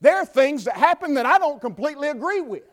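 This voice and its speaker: A man with a Southern US accent preaching a sermon from the pulpit, building to fervent shouts and dropping to quiet talk. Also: extremely high pitch, sing-song, quick pace. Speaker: pastor. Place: church.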